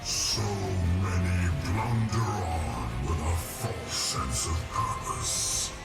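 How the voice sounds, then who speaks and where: A super slow deep undead iron tyrant from a video game, menacing, gravelly, loud music behind. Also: male voice, reverberant, heavily acted. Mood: imperious menace. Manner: grandiose, slow, ominous proclamations. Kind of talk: video game villain voice lines.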